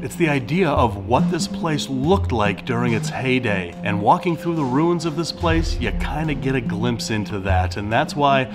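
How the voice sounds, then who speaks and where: A man's voice: mid-range voice, lively intonation, normal speed, few pauses, over music. A man casually narrating as he explores overgrown ruins outdoors, chatty and joking, with a handheld camera mic. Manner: chatty, curious, jokey. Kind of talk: casual walking vlog narration.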